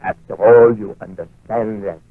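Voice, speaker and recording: An actor playing an evasive middle-aged Nazi German official with a German accent, formal and ingratiating, in 1940s radio style. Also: male voice, on the low side, fairly even intonation, normal speed, dry recording, natural voice. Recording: tinny, narrow-band 1940s radio recording.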